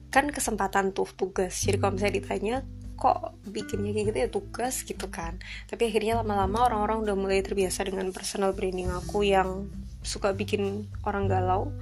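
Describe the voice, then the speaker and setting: A young woman with a light Javanese accent talks through her solo podcast, chatty and self-deprecating, slipping English phrases in here and there. Her voice sits at an ordinary pitch and her intonation stays a little flat. Clear music plays underneath her voice. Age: 20s. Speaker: podcast host. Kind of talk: casual solo podcast monologue.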